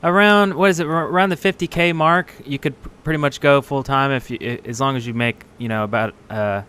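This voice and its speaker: A man's voice: medium pitch, fairly quick, bright, forceful tone, clear, resonant tone. A man co-hosting a podcast, chatting casually and dropping short approving remarks. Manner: short affirming interjections. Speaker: podcast co-host. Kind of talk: casual chat.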